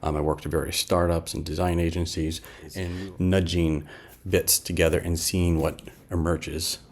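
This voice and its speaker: A man in his fifties narrating a casual software demo in an informal, explanatory way with asides and a husky voice. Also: sits low, flat delivery, moderate pace. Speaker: app developer presenting a demo.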